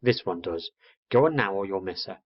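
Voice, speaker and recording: A man voicing a patient mother barn owl who speaks gently but firmly, close to his own low voice, on a lo-fi recording. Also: moderate pace. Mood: gentle. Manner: calm, gently firm.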